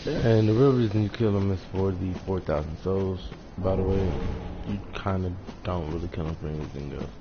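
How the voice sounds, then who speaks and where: A man with a raspy, hoarse voice casually commenting on his own game stream, rambling and trailing off quietly at the ends of thoughts. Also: low voice, moderate pace. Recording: lo-fi stream audio with loud noise.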